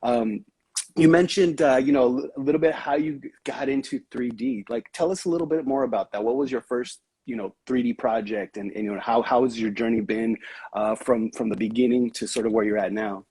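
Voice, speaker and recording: A man hosting a casual livestream interview, upbeat, greeting viewers by name and giving shout-outs. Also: medium pitch, fairly quick, breathy edge, no room sound. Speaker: livestream host.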